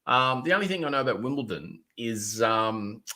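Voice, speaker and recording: A man's voice: mid-range voice, animated, moderate pace, pushed and bright. A man hosting a podcast in casual chat, rambling with many ums and playful restarts. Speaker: podcast host.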